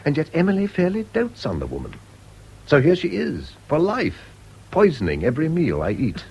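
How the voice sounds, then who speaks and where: An actor playing a wealthy, middle-aged English husband in a radio drama, witty, sardonic and self-satisfied, with a drawling, epigrammatic manner. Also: male voice, fairly high pitch, huge pitch swings, normal speed, darkish tone, husky, exaggerated voice. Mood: cynical.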